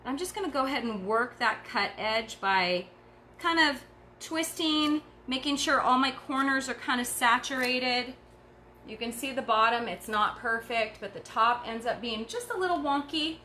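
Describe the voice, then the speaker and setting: A tired middle-aged woman talks viewers through a craft project in an easy, encouraging way. Her voice is bright and a little high, with a faint hiss of noise under it. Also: moderate pace. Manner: chatty and encouraging, talks while working. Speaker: craft tutorial host. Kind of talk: casual craft demonstration.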